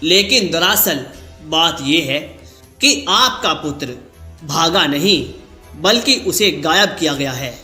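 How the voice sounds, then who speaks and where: A man voicing a calm, knowing ascetic sage in a cartoon story. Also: fairly high pitch, slow, reverberant, soft background music, full character voice. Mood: calm, revealing.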